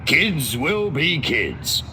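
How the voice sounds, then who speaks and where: A haughty, sardonic alien invader from a video game. The delivery is drawn-out and menacing, with big swings in pitch. Clear outdoor game noise sits behind the voice. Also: male voice, wildly swooping pitch, bright, forceful tone, exaggerated voice. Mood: dismissive, sly. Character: arrogant male alien invader posing as a human, contemptuous and sardonic.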